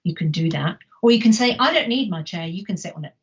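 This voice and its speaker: A woman's voice: lowish voice, expressive, quick pace, breathy edge, highs cut off. Woman reading a picture book to children. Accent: English. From Wales. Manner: gentle storyteller, asks children questions. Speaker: teacher. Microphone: live online lesson mic.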